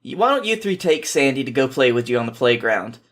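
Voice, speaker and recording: A man's voice: mid-range voice, very animated, brisk, fairly bright tone. Man reading as young farmer, male, easygoing, casual, obliging, story dialogue. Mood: friendly, reassuring.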